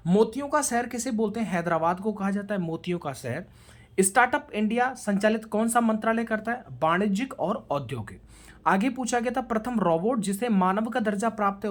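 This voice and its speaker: Woman teaching exam revision. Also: mid-range voice. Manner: instructional, addresses students directly, mixes in English terms. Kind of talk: rapid question-and-answer revision lecture.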